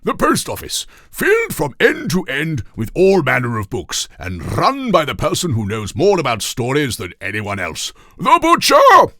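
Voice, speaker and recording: A storybook weasel king, male, loud and imperious. He swoops far up in pitch and declaims every order with huge drama, bossy and muddle-headed. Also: wildly swooping pitch, moderate pace, hardly any pauses, no echo, clean studio sound, heavily acted. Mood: pompous.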